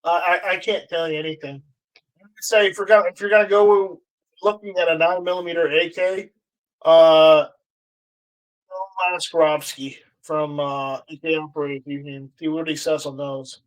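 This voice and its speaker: A man hosting a live stream in casual chat, reading out viewer comments in a halting way and often repeating words. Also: a little high, level tone, rough recording.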